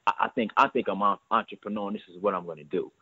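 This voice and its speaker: A young man in his twenties speaks fast and earnestly, often stumbling into repeated words. His voice comes through a narrow, tinny phone line with no room sound at all. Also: fairly low pitch, steady tone, husky, no echo. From New York. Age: 20s. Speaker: guest entrepreneur. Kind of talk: phone interview.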